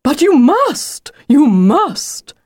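A woman's voice: medium pitch, big pitch swings, slow pace, a little mellow, dry recording, studio quality, pitched above the performer's own voice. A woman voicing a pompous, insistent male storybook wolf with a grandiose, wheedling, theatrical manner. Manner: grandiose, emphatic, wheedling old-fashioned phrasing.

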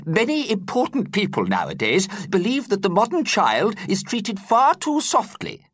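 A man voicing a sweet, fretful old male schoolteacher in a high, squeaky voice for a storybook reading. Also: wildly swooping pitch, moderate pace, a bit bright, breathy edge, dry recording, studio quality, exaggerated voice. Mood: worried. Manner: fretful, hesitant.

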